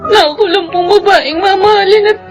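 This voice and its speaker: A woman's voice: very high voice, brisk, music in the background. A woman with a Filipino accent playing a distressed, tender young unwed mother in a radio drama. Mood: tearful, pleading.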